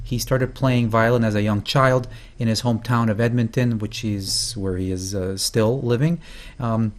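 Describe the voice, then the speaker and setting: A man hosting a folk music program, introducing a guest in a loose, halting way with many fillers and false starts. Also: on the low side, steady tone. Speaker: host. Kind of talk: casual spoken introduction.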